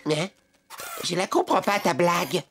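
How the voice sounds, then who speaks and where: A woman's voice: on the low side, unhurried, heavy background noise. A woman voicing a serious, literal-minded little techie character in a children's cartoon, earnest and fussy, with music behind. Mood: puzzled, serious. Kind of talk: animated children's cartoon dub.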